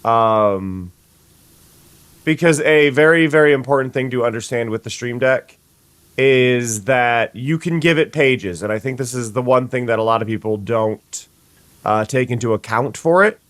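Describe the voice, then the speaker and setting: Brief: young sniffly streamer, mid-pitch man's voice, chatty with restarts, dry booth sound. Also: moderate pace, fairly bright tone, dry recording. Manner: chatty, stumbling restarts, greets viewers. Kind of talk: casual gameplay chat.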